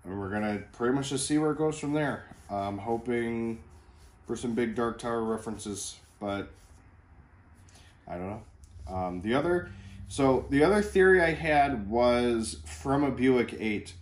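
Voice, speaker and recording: A man's voice: mid-range voice, expressive, unhurried. A man chatting casually to camera as a book vlogger, in a rambling, informal and slangy way.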